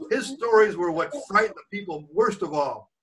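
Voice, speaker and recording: An older man reading a storybook aloud as a grandfather would, pausing for chatty asides and questions to young listeners. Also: high voice, animated, fairly bright tone, distant mic, poor audio. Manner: pauses to quiz the listeners.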